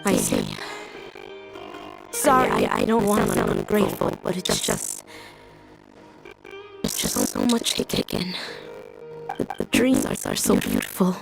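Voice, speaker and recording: A heartbroken young woman, her voice heavily creaky and swinging widely in pitch. She falters and apologizes softly, in game dialogue over music. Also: huge pitch swings, a little slow, pauses often, exaggerated voice, rough recording. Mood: grieving, shaken. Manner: hesitant, faltering, emotional. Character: grieving, fragile young woman, humbly apologetic. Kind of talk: video game voice acting.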